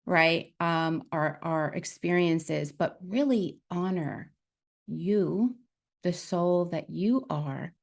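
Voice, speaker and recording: A woman podcast host with a somewhat low voice talks thoughtfully and affirmingly, unpacking ideas step by step. She often checks in with a quick "right?" as she explains. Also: darkish tone. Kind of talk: conversational podcast commentary.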